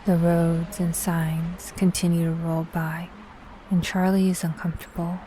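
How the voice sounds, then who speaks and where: Casting: female narrator for suspense audio drama, lowish pitch, very flat intonation, measured delivery, faint music behind. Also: slight background noise.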